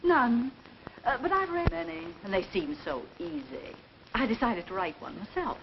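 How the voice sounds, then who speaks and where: A woman's voice: fairly high pitch, expressive, normal speed, gravelly. An American actress playing a chatty, sweetly scatterbrained small-town woman in a 1940s film. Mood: airy, pleased. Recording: muffled, noisy 1940s film soundtrack.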